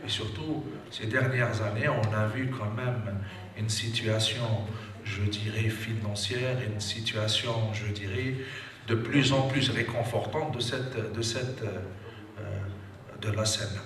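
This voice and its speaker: A man's voice: lowish voice, slow pace, fairly bright tone. A man giving a formal speech at a seminar, often hedging with a stock filler phrase. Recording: echoey room, distant mic, background noise.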